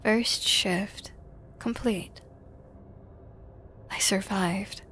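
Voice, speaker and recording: A woman's voice: mid-range voice, steady tone, extremely slow, a bit bright, a little hiss or hum. A woman game streamer, moving between reading game narration aloud and casual live chat.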